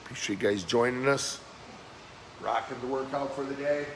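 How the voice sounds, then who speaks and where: A man leading a live-streamed workout as fitness coach, giving brisk, encouraging exercise cues in a slightly hoarse voice that keeps cracking. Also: medium pitch. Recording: echoey room with faint background noise.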